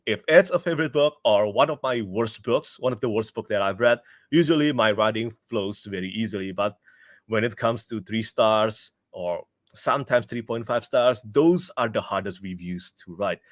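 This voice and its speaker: A man's voice: lowish voice, moderate pace, no room sound. A young man with an Indonesian accent, chatting casually on a livestream as a book reviewer, laughing often and restarting his sentences.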